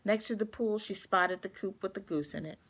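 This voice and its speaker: A woman reading a children's storybook aloud, expressive and carefully paced for young listeners. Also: mid-range voice, brisk, husky.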